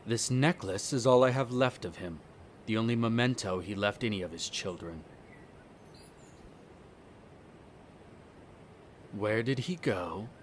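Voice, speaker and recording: A man acting a haughty, bitter centuries-old vampire noble in an audio roleplay, speaking in a formal, measured, condescending way. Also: fairly low pitch, a little hiss or hum, lightly acted. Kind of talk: scripted roleplay audio drama monologue.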